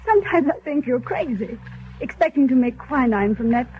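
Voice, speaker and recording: High-voiced, nagging, fond wife. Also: female voice, lively intonation, moderate pace, slight background noise. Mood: chiding, fond. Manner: chiding, theatrical. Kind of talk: 1930s radio acting. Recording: tinny 1930s radio recording.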